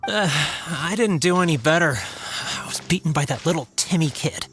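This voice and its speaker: A woman's voice: sits low, animated, moderate pace, faint music behind. A woman voicing an ordinary townswoman in a video game, grumbling casually as a disappointed card player. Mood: rueful, baffled.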